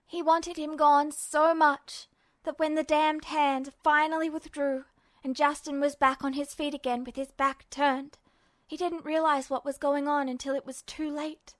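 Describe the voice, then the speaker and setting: A woman's voice: sits high, level tone, a little slow, no room sound. A woman narrator reading fiction in a dramatic audiobook style.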